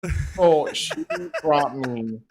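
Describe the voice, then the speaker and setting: A man's voice: mid-range voice, wildly swooping pitch, takes it slowly, a crowd in the background. A man in his fifties chatting easily as a co-commentator on a darts stream. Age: 50s. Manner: chatty, easygoing storyteller. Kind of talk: casual chat on a stream.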